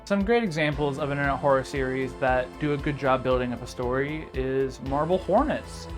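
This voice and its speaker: A man's voice: mid-range voice, brisk, music in the background. A young man delivering a casual video essay as narrator, informal and sardonic with joking asides.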